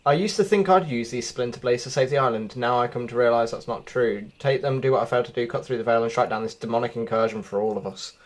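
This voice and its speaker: A man's voice: medium pitch, quick pace, few pauses, natural voice. A man reading out a solemn, regretful warrior from a video game in an earnest, declarative way. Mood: solemn resolve. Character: solemn, regretful warrior handing over his blades. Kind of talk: dramatic read-out of game quest dialogue.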